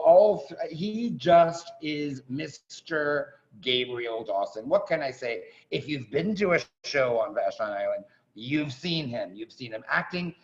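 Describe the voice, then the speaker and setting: A man hosting a staged reading over a video call, making effusive, chatty, informal introductions in a gravelly voice. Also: fairly high pitch. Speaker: host of a staged reading event. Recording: dull, muffled video-call audio.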